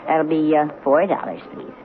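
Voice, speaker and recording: An actress playing a sly, sweet-talking old church lady in her eighties in a radio drama, genteel, coy and gently teasing. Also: low voice, steady tone, normal speed, lightly acted. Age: 80s. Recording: muffled, noisy 1970s radio broadcast.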